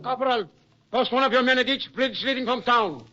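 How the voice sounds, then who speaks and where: A harsh German commander in a vintage radio play. He barks urgent orders at a very high pitch. Music plays clearly behind the muffled recording. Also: fairly quick, sharp tone. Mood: barking, urgent. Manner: barking, urgent. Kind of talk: 1940s radio acting.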